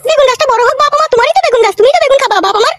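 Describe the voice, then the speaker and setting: A woman voicing a doting, scolding village mother in a comedy cartoon, with a coaxing, plaintive manner and a pitched-up cartoon effect. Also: very fast, a bit bright, dry recording, clean studio sound.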